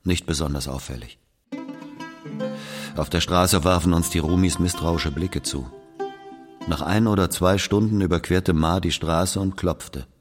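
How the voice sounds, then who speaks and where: An actor playing a brooding, guilt-ridden Algerian man who recalls his past in a grave, measured literary monologue. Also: deep voice. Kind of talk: literary monologue, scripted narration. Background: plucked guitar music.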